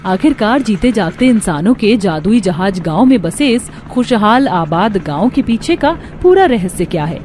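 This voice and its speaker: A woman's voice: medium pitch, big pitch swings, normal speed, hardly any pauses, a little mellow, slight background noise. A woman reading scripted narration for a cartoon story.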